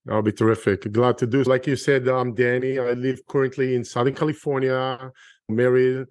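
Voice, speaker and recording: A man's voice: mid-range voice, level tone, moderate pace, darkish tone, no echo, clean studio sound. A middle-aged man from Israel with an Israeli accent, talking earnestly as a guest on an interview podcast and often restarting his sentences. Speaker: guest, real estate investor.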